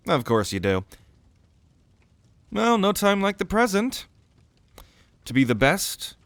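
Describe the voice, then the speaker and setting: A man's voice: fairly high pitch, smooth, no room sound. A man playing a cocky, sardonic young prince at a mage academy in an audio roleplay, with a swaggering, theatrical manner. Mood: eager, teasing. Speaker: ASMR roleplay voice actor.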